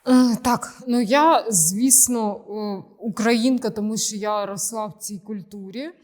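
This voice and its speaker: A woman's voice: medium pitch, measured pace, a little mellow. A woman in her forties from Ukraine, answering interview questions hesitantly with many filler sounds. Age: 40s. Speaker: interview guest. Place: room in Kyiv.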